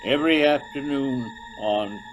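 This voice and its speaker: An actor playing a theatrical announcer of an old-time eerie radio show, with a dramatic, suggestive delivery. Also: male voice, medium pitch, a little slow, soft background music, close to an everyday voice. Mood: ominous, theatrical.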